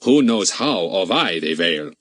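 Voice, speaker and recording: A man voicing a big, burly, solemn Austrian owner in an audiobook, with a very deep voice, an Austrian accent and a slow, theatrical, lamenting way of talking. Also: very animated, a bit bright, slightly rough, no echo, full character voice. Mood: mournful.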